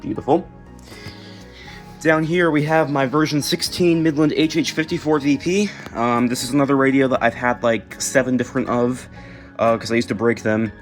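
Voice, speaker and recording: An American man narrates a collection in a casual show-and-tell way. His delivery is unscripted and halting, often trailing off mid-thought. His pitch sits in an ordinary male range, with some music behind him. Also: steady tone. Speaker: video creator showing a collection.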